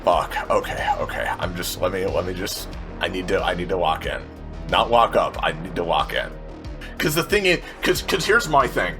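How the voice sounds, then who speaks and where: A man's voice: sits low, sing-song, normal speed, over music. A man chatting informally as a player in a tabletop game, swearing and repeating himself as he reasons aloud. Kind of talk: casual game-table chat.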